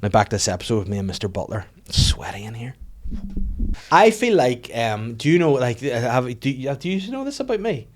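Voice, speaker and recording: A man's voice: medium pitch, sing-song, a little fast. A man with a Northern Irish accent, a comedian chatting on a podcast in a rambling, profane, joking way. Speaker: comedian guest. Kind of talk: casual podcast chat.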